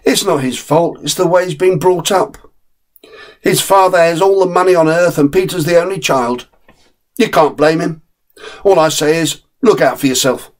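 A man's voice: on the high side, moderate pace, voice pushed up, close to an everyday voice. A man voicing a friendly, streetwise male terrier who gives blunt, knowing advice.

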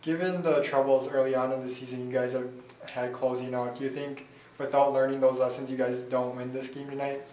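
A man interviewing players after a basketball game, asking measured questions with a few "uh"s. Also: medium pitch, level tone, a little mellow. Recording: echoey room, distant mic, faint hiss.

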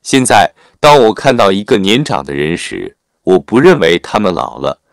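A man narrating a written article in a formal, measured read-aloud manner. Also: low-pitched, big pitch swings, vocal fry, smooth, dry recording, studio quality.

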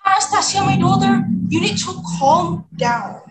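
A woman's voice: very high voice, moderate pace. A woman playing a cruel, bossy stepmother who dotes on her daughter, in a readers theater. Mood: flustered, soothing. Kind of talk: readers theater acting on video call. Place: indoors on a video call. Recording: roomy echo, background music.

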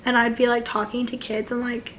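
Hoarse girl in her 20s chatting on her couch, flat tone, faint room hum. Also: on the high side, a little fast. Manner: rambling, many likes and restarts, gestures a lot. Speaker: vlogger. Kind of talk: casual chat to camera. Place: living room couch, indoors.

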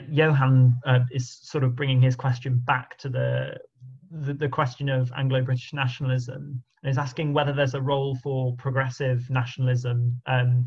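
A man's voice: medium pitch, lo-fi sound. A man moderating a video call, reading out audience questions in a hesitant way with many "um"s and repeated words.

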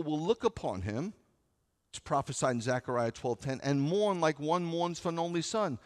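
A middle-aged American man preaching as a rabbi, conversational and wry, leaning on repetition and rhetorical questions for emphasis. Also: fairly high pitch. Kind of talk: sermon. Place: large sanctuary, at a pulpit before seated listeners. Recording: reverberant hall sound.

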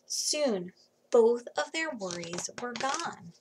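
Animated, high-pitched woman reading a storybook. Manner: dramatic pauses and hushed emphasis for young listeners. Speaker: children's book reader. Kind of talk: expressive read-aloud of a children's storybook. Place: small home room, indoors. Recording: faint background noise.

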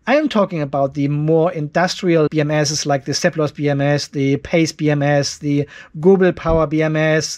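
A man's voice: on the high side, a little mellow. A man in his fifties giving a casual, step-by-step explainer to camera as a video host, with informal, emphatic phrasing. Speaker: host of an off-grid solar and battery channel. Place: cluttered garage workshop.

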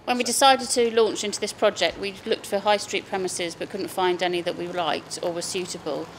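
A middle-aged woman who co-owns a farm shop, speaking in a brisk, matter-of-fact way in a promotional interview, recorded indoors in the shop. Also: lowish voice, fairly even intonation, slight background noise.

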